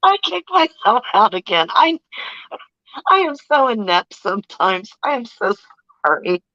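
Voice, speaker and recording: A woman joining a live stream as guest by phone, her voice gravelly from a respiratory illness. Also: on the high side, sing-song, measured pace, bright, forceful tone, dry recording, highs cut off. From USA. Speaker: guest reporter. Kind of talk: casual video call chat. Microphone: phone on a video call.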